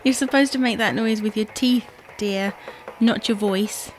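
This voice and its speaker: A woman's voice: medium pitch, measured pace, close to an everyday voice. A woman playing a dry, sardonic, patient but unimpressed housewife in an audio sitcom. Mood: questioning.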